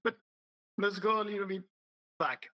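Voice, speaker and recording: A man's voice: high voice, moderate pace, pushed and bright. A man hosting a podcast and interviewing guests, curious and thinking aloud as he asks his questions. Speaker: podcast host.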